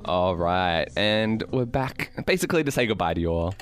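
A man's voice: low voice, lively intonation, normal speed, soft background music. A man co-hosting a comedy podcast in casual banter, wry and questioning. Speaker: podcast co-host.

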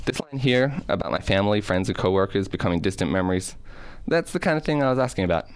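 A man's voice: fairly low pitch, expressive, quick pace, a little hiss or hum, close to an everyday voice. Man acting a doubtful, questioning male friend. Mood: worried, sceptical. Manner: questioning, sceptical. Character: doubtful, anxious male friend newly made private investigator. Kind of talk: scripted audio comedy sketch.

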